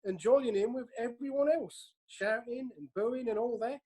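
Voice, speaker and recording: Woman playing husky, hapless male defendant. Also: a little high, moderate pace, mellow. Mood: sheepish. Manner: plain-spoken, colloquial. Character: hapless working-class male pantomime-goer on trial as defendant. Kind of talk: courtroom audio drama acting.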